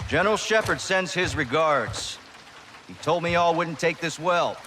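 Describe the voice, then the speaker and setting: A man's voice: fairly high pitch, a little slow, pushed and bright, a little hiss or hum. A man with a Southern US accent playing a smug, menacing military contractor commander in a video game cutscene, cocky and controlled. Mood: cold menace.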